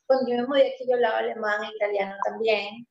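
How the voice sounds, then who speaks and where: Woman, high voice, chatty interview guest, emphatic, laughs often; roomy, slightly muffled audio. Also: vocal fry. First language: Spanish. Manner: animated and emphatic, stressing points slowly, laughs easily. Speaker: interview guest, pastry entrepreneur. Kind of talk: casual conversational interview. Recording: roomy, slightly dull sound.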